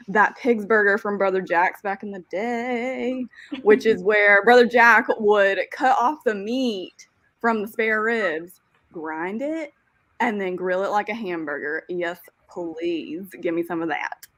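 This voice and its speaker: An enthusiastic woman from Tennessee co-hosts a relaxed talk show, sounding playful. Her voice is recorded dry and close, with no room sound. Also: mid-range voice, no room sound. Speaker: co-host. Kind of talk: casual chat.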